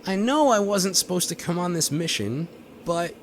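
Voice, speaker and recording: An actor playing a dim, sweet, hapless ex tagging along on a space mission in an audio drama, earnest and guileless. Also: male voice, high voice, animated, moderate pace. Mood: cheerful, naive. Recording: noisy background ambience.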